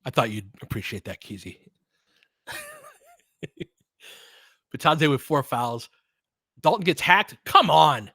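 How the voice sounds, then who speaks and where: A man's voice: mid-range voice, very animated, takes it slowly, gravelly, long pauses, bright, forceful tone, no echo, clean studio sound. A man calling a basketball game live in clipped phrases, with casual, fan-like asides between the plays. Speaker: basketball play-by-play commentator.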